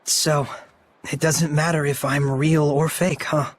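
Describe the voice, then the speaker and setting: An actor playing an earnest, kindhearted young shepherd hero in a video game, speaking casually and sincerely. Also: male voice, on the high side, flat delivery, slow pace, a little hiss or hum. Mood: pensive. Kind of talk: English video game dub acting.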